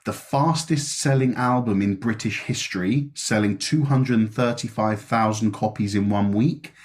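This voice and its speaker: Chatty low-voiced man. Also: a little slow. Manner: chatty, asks tag questions, lists facts. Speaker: podcast co-host. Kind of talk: casual chat.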